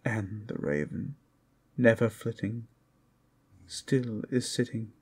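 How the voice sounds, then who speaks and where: A man reads gothic poetry as a bedtime tale, quietly but theatrically. His voice sits on the low side, husky and heavy with fry. The delivery is measured and brooding. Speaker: narrator, reader.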